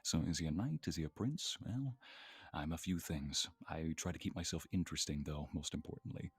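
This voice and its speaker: A man's voice: a bass voice, animated, fairly quick, breathy edge, no echo. A man talking casually and playfully on a live stream, in a chatty way. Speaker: voice actor and guest creator. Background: faint background music with guitar.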